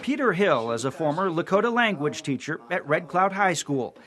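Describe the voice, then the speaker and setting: A man's voice: a little high, lively intonation, normal speed, fairly bright tone. A man narrating a local TV news feature in a scripted reporter style.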